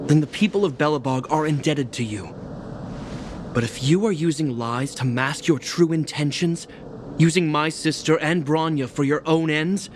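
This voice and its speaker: An actor playing a stern, duty-bound young guard captain in a video game, speaking in a formal, commanding, solemn military way. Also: male voice, a little high, background noise, natural voice. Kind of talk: dramatic video game voice acting.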